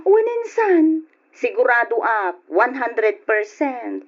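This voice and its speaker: A woman's voice: on the high side, sing-song, slow pace, heavily acted. A woman with a Filipino accent playing a determined young wife and mother in a radio drama, emotional and insistent. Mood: pleading, insistent. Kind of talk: old-fashioned Ilocano radio drama acting. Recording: dull lo-fi old radio drama.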